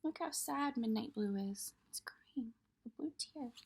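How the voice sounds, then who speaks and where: A woman reading a picture book aloud to young children, expressive and pausing to point things out. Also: on the high side, gravelly, husky. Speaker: children's storybook reader.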